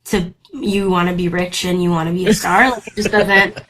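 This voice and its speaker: A young woman from Brazil, a native Portuguese speaker, chatting as an actor guest in an interview, lively, laughing often and restarting her sentences. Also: lowish voice, normal speed, crowd noise, rough recording. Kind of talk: casual interview chat.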